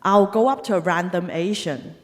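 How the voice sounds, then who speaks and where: A young woman from Hong Kong doing a stand-up set on stage, delivering deadpan punchlines and laughing at her own jokes. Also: fairly low pitch, moderate pace, very noisy. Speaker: stand-up comedian. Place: comedy club stage. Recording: live venue with long reverb. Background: audience laughter.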